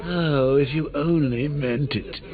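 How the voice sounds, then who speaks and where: A man's voice: fairly high pitch, slow, a crowd in the background. An actor voicing a manic male clown villain in a video game, taunting in a theatrical, mocking way with sudden cackles. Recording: tinny lo-fi capture with clear hiss.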